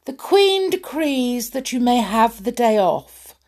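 A woman voicing a diminutive male king who speaks soothingly and coaxingly to his wife, with a slightly breathy voice. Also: fairly high pitch, big pitch swings, slow pace, a little mellow, pitched above the performer's own voice, exaggerated voice. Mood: mock-innocent. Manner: sweet, coaxing.

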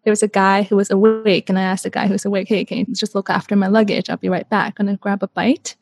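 A woman's voice: medium pitch, quick pace, dry recording. Chatty woman guest in her thirties. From Canada. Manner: chatty, animated, peppered with "like". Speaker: interview guest. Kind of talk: casual storytelling in an interview.

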